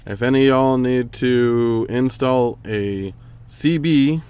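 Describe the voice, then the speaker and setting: A man's voice: medium pitch, slow, mellow, clear, resonant tone. A man giving a casual, plainspoken DIY walkthrough from inside a truck cab, grumbling mildly as he points things out. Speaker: vlogger showing a DIY truck install. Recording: handheld camera audio with faint vehicle noise.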